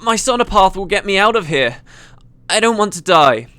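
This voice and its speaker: A man voicing a shrill, boastful small fantasy creature woman who shouts and moans as he reads a story. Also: expressive, normal speed, smooth, dry recording. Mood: groggy, pleading. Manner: shouting, boastful, then moaning.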